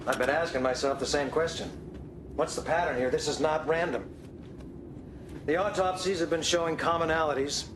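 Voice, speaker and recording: An actor, playing a weary, grave field doctor in a game drama, explaining in a measured, halting way. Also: male voice, on the high side, steady tone, background noise, natural voice. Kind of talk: film drama acting.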